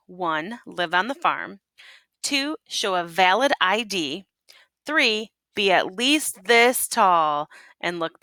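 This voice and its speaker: A woman reading a children's picture book aloud in an expressive, teacherly way, pausing to prompt listeners with questions. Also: fairly low pitch, dry recording. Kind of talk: expressive read-aloud storytelling with asides and questions.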